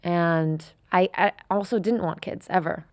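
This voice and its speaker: A woman's voice: fairly low pitch, a little slow, a little mellow, no echo, clean studio sound. A woman in her thirties from France, speaking openly and reflectively as a podcast guest, with frequent fillers. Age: 30s. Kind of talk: candid interview conversation.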